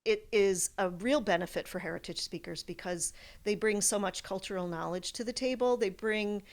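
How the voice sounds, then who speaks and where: A woman explaining her field in an interview, in the manner of a college professor, with animated examples. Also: mid-range voice, moderate pace.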